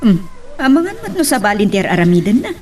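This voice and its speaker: A woman playing an advising older auntie in an audio drama, with an Ilocano accent and an expressive delivery. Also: mid-range voice, fairly quick, close to an everyday voice. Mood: cautioning. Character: older auntie, a seasoned, advising overseas worker. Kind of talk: Ilocano radio-style drama acting.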